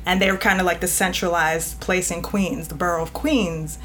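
A woman's voice: lowish voice, steady tone, moderate pace, a bit bright, a little hiss or hum, rough recording. A woman guest editor talking frankly and at length in a casual podcast conversation, trailing off and restarting mid-thought.

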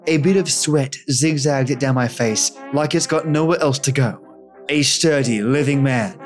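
A man hosting a storytelling podcast, reading a scripted introduction. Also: mid-range voice. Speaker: podcast host.

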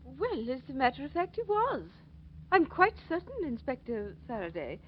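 An actress playing a genteel, upright aunt in 1940s radio style, with a polite, proper manner. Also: female voice, sits high, big pitch swings, normal speed. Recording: muffled, noisy old radio recording.

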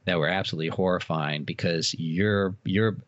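A man with a fairly low voice talks as an interview guest, explaining his opinions conversationally. The recording is a little dull and lo-fi, with a dry sound. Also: moderate pace. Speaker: interview guest, author and horror enthusiast. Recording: dull, roomy, echoing lo-fi sound.

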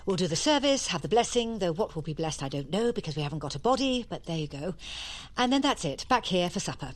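Casting: middle-aged English mother, brisk, contradicting, matter-of-fact, swooping intonation, audio drama. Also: fairly low pitch, big pitch swings. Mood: brisk, dry. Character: brisk, dismissive middle-aged mother hosting a tense family dinner. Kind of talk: naturalistic audio drama acting.

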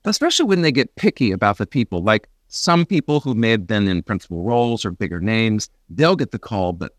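A man hosts a conversational interview in a fairly low voice. He is agreeable and sympathetic, talking like a peer. The sound is dry and close, without room reflections. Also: expressive, fairly quick, dry recording. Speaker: interview host and actor.

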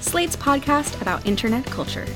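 A woman's voice: sits high, lively intonation, moderate pace, over music. A woman chatting casually as a podcast guest, enthusiastic and droll. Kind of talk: casual chat.

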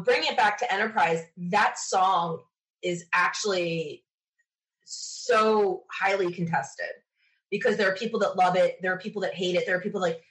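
A woman's voice: fairly low pitch, fairly bright tone, low-quality recording. A woman from the United States chatting enthusiastically as a podcast interview guest, telling stories in a casual way. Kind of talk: casual interview chat, animated anecdotes.

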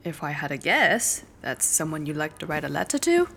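A woman acting a brusque, impatient clerk in an audio drama, curt and sardonic with a deadpan, dismissive delivery. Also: low-pitched, fairly quick, vocal fry, slight background noise, full character voice. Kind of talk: naturalistic fiction podcast acting.

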